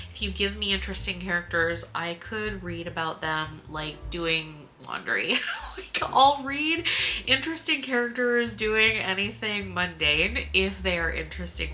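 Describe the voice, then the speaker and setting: A woman with a bright, crisp-edged voice talks through a book review in a casual vlog style. She is informal and a little hesitant, circling back to correct herself and dropping the odd mild swear. Faint music sits under her voice. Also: medium pitch. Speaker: book reviewer and video host.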